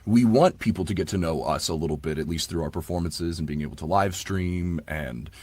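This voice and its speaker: A man's voice: low voice, brisk, a little mellow, husky. A man speaking casually and earnestly as an interview guest on audiobook work, often padding his sentences with "you know.". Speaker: guest, audiobook narrator and producer.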